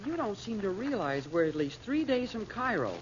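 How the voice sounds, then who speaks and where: Wisecracking youth, animated, noisy recording. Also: male voice, high voice, moderate pace. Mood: blunt, dry. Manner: wry, folksy, eager. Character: plucky, wisecracking youth on a family adventure. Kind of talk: old-time radio adventure serial acting. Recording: muffled, noisy old radio recording.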